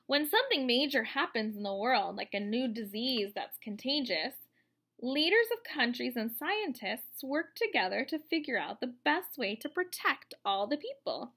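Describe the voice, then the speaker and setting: Bright, high-pitched woman reading to children. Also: normal speed. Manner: expressive, explanatory, addressing young listeners. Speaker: teacher reading a children's book aloud. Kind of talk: read-aloud storytelling for children with asides. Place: room at home, indoors.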